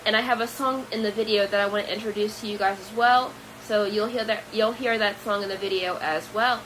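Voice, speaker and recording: A woman's voice: mid-range voice, fairly even intonation, a little fast, a bit bright, a little hiss or hum, low-quality recording. A woman hosting a casual podcast, introducing a video in a loose, rambling way with frequent ums. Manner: rambling with many ums, easygoing. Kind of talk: casual chat.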